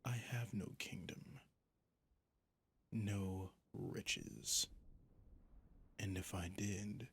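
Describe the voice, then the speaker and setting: Ancient vampire captor, male voice actor, ASMR audio drama. Very unhurried, creaky, whispery delivery; sadistic, mocking, theatrical. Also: on the low side, steady tone, heavily acted. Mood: condescending. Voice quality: breathy, gravelly. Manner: slow, theatrical, condescending, often dropping to a whisper.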